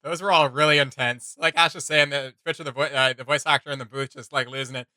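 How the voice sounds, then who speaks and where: Bright, casual male reaction host. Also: medium pitch, quick pace, dry recording, studio quality. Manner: giggly, animated, rambling. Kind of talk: casual chat, joking commentary.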